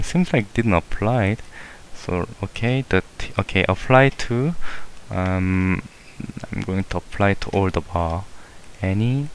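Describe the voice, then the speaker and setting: A man with a very low voice talks slowly through a software tutorial, pausing often with fillers and correcting himself as he clicks along. A faint hiss sits under the recording. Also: rough recording. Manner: unhurried, hesitant, many fillers and self-corrections. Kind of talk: screen-recorded software walkthrough.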